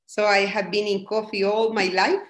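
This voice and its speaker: A woman coffee producer introducing herself in an interview over a video call, in unhurried English. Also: mid-range voice, flat delivery, echoey room. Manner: unhurried non-native English.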